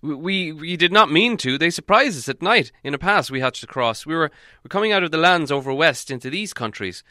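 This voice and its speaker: A man's voice: on the high side, animated, a little fast, sharp tone, no room sound, studio quality, voice pushed up. A man voicing an old wizard, fussy, polite and stammering, in a storybook reading. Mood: apologetic, hesitant.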